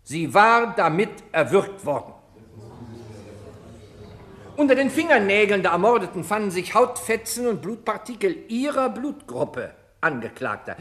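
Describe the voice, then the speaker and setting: A haughty male prosecutor in a radio courtroom drama. His high voice swings widely in pitch as he lays on biting irony and theatrical, rhetorical pauses. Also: big pitch swings, fairly bright tone, exaggerated voice. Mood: accusing. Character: sarcastic, haughty male public prosecutor hounding the accused. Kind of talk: German radio crime play acting, courtroom oratory.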